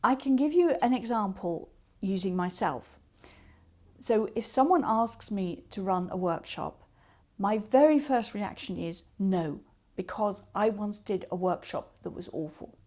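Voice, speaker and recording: A woman's voice: mid-range voice, expressive, a little slow, a little mellow. A woman speaking as a coach, giving practical advice step by step with illustrative examples. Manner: explains step by step with acted-out example remarks. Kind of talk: instructional talk with practical tips and examples.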